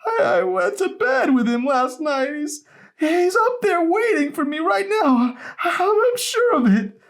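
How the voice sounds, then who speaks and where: A man voicing a frail, distraught elderly grandmother in an audiobook, with a strained, cracking, halting voice. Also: extremely high pitch, big pitch swings, pitched above the performer's own voice, heavily acted. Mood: anguished denial. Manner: halting, stammering. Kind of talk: audiobook character voice.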